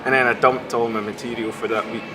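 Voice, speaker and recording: Laid-back student comedian from Glasgow. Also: male voice, medium pitch, level tone, fast talker. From Scotland (Glasgow). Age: young adult. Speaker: stand-up comedian, university student. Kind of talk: casual interview chat. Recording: street noise outdoors.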